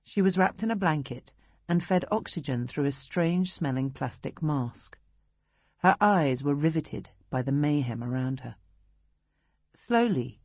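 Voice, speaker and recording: A woman with a British accent narrates a novel in a low register. Her delivery is measured and expressive, shaped for storytelling, and the sound is dry and free of room echo. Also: husky. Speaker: audiobook narrator. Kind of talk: scripted literary narration.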